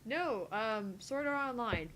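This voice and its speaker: A woman's voice: a little high, animated, slow, a bit bright, a little hiss or hum, poor audio. A woman co-hosting a visual novel read-along, reading lines and chatting in a casual way. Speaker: co-host voicing a visual novel. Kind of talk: casual chat and amateur script reading.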